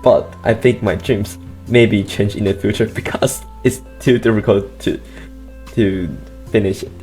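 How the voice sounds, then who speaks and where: A nervous teenage high school student answers in careful learner English, stumbling, restarting and giggling often. The voice sits mid-range, with light music running faintly behind. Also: steady tone, a little slow, darkish tone. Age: teens. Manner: hesitant, nervous, giggly, repeats words. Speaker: senior high student, interviewee. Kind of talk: casual interview in learner English.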